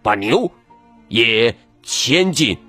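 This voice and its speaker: A man doing a calm, commanding old elder. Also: faint music behind, full character voice. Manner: low, slow, firm commands.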